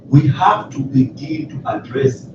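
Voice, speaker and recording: A man's voice: a little high, expressive, a little slow, background noise. A man arguing a point emphatically at a panel discussion in a conference hall. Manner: emphatic, argumentative. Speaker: panel speaker. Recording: echoey hall, distant mic, muffled.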